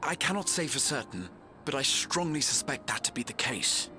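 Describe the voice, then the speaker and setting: An actor playing a thoughtful, cautious scholar adventurer in a video game, measured and precise, with music behind him. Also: male voice, mid-range voice, very animated, lightly acted. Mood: pensive. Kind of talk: scripted video game voice acting.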